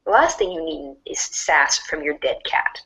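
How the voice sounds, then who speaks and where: A woman playing a sassy storybook narrator who talks back to commands, expressive and sardonic in read-along style. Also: sits low, a little slow, gravelly, whispered, full character voice, rough recording. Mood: scolding.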